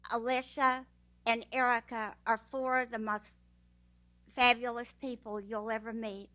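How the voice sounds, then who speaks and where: A woman with a Texas accent giving a slow, heartfelt graduation speech with fillers. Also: fairly high pitch, bright, forceful tone. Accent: Texan. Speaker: guide dog graduate. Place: ceremony room.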